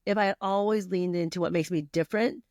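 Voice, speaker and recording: A woman's voice: lowish voice, fairly even intonation, fast talker, a little mellow, dry recording, clean studio sound. A middle-aged woman from Vietnam, guest on a podcast, talking casually with many fillers, restarts and laughs. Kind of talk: casual conversational interview.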